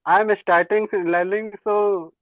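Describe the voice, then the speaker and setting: A native Hindi speaker learning English, speaking in halting English and answering "yes, ma'am" again and again. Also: medium pitch, fairly even intonation, no echo. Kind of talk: beginner conversation practice. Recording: tinny phone line.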